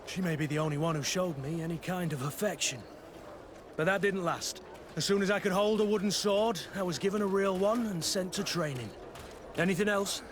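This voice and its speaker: Game casting: male actor as solemn veteran soldier, slightly high voice, earnest and burdened, outdoor noise behind. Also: fairly quick, natural voice. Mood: candid, reflective. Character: betrayed, regretful soldier mentor of the Guard. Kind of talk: dramatic video game dialogue.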